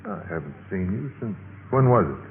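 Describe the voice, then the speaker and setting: A man's voice: sits low, very animated, normal speed. An actor playing an easygoing, friendly young college man in a 1950s radio drama. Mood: casual, teasing. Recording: echoing, noisy, tinny 1950s radio recording.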